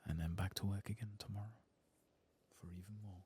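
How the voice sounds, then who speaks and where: A man hosting an ASMR game playthrough, speaking softly and casually in a breathy murmur that often drops toward a whisper. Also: low voice, fairly even intonation, brisk. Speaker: ASMR gaming channel host. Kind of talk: soft-spoken ASMR game playthrough narration.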